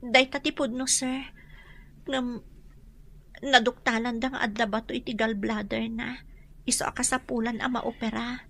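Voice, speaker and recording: A woman's voice: a little high, fairly even intonation, moderate pace, long pauses, a bit bright. A woman playing an anxious, dutiful young daughter who works as a housemaid, speaking with emotive, hesitant pleading in an Ilocano radio drama. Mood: sorrowful, worried.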